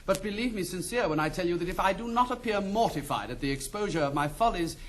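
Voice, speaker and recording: An actor playing a frank, cheeky young rake with a British accent in a 1960s classical stage comedy recording. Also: male voice, high voice, expressive, fast talker, a bit bright. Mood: sincere. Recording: 1960s studio record, faint hiss.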